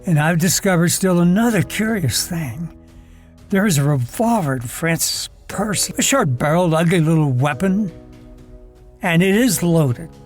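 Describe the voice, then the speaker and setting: A man acting a scheming, vengeful wheelchair user who narrates his side of a radio drama in a measured, brooding way. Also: on the high side, expressive, faint music behind. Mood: brooding, calculating.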